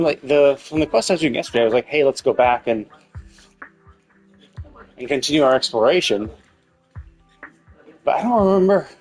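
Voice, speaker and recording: A man streams a video game, talking through his thoughts in a casual, rambling way. His mid-pitched voice moves around with animated intonation. He hesitates, repeats himself and swears offhandedly, while game music plays behind him. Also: rough recording. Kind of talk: casual live game commentary, thinking aloud.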